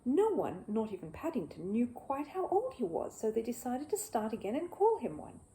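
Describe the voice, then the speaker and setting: A woman reading a children's book aloud as an expressive storyteller. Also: a little high, normal speed, rough recording. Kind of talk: expressive storybook narration with a casual greeting.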